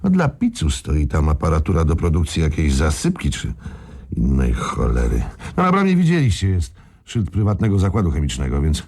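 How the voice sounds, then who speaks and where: An actor playing a scheming, cocky gang boss in a radio crime drama, with a gravelly, husky voice and colloquial, self-assured speech. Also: male voice, a bass voice, wildly swooping pitch, moderate pace, darkish tone, full character voice.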